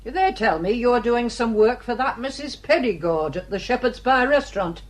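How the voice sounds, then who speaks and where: A woman's voice: on the high side, animated, moderate pace. A grasping old Northern English woman of property in a radio drama. She scolds and sneers with haughty bluntness, as if everyone were out to cheat her. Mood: domineering, threatening. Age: older. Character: grasping, spiteful old female mill owner and landlady.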